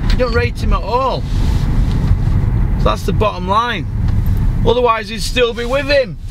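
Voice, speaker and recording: A man in his fifties with a slightly high-pitched voice. He talks bluntly and emphatically, hammering his points by repeating them in an unscripted vlog style. The recording is made inside a moving car, with clear road and engine noise behind him. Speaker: boxing pundit vlogger. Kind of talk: opinionated off-the-cuff vlog commentary.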